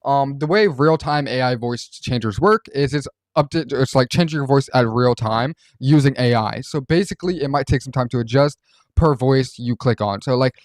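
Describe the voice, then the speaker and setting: A man presents a software walkthrough with a mid-pitched voice. He talks informally and rambles a little as he explains. The recording is dry, with no room sound around him. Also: normal speed, no room sound. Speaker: host reviewing voice changer software.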